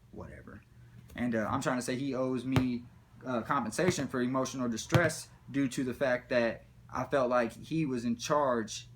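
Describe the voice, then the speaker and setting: A man spins a comic story in casual, profanity-laced slang. He rambles and acts out moments as he goes. There is faint noise and room ambience behind his mid-pitched voice. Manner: rambling, slangy, animated retelling.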